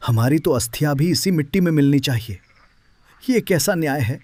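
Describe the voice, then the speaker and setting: Man playing an anguished, higher-voiced village farmer. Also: animated, moderate pace, darkish tone, slight background noise, natural voice. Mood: indignant, anguished. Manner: protesting, emotional. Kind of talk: animated moral story dialogue.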